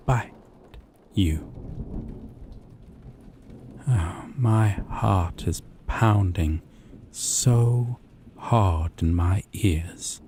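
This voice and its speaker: A man playing a gentle, obsessive yandere dating-sim character, tender and possessive, in an intimate scripted audio roleplay with a lingering, coaxing delivery. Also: lowish voice, a little hiss or hum. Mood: obsessive, menacing. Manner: slow, lingering, coaxing, softly teasing.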